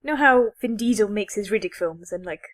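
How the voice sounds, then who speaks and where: A woman's voice: medium pitch, brisk, a crowd in the background. A woman co-hosting a podcast, chatting casually about films in a sarcastic way and acting out imagined dialogue. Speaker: podcast co-host. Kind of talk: casual geek chat, movie review.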